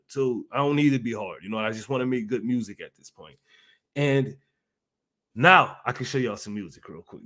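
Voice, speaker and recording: A man's voice: medium pitch, fairly bright tone. A man in his thirties hosting his channel, telling stories to camera casually, chatty, laughing often and repeating himself. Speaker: channel host.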